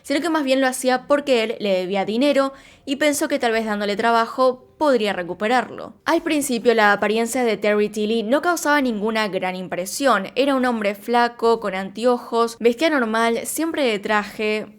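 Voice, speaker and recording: A woman narrating a true crime story in a calm, scripted way, speaking directly to her viewers. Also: on the high side, moderate pace. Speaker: true crime channel host.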